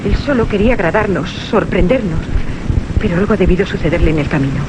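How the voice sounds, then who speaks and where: A protective, sympathetic friend played by a man pleads in a high voice, in radio-play style. Clear rumbling noise runs behind. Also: animated, fast talker. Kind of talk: Spanish-language radio drama acting. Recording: rumbling windy background noise.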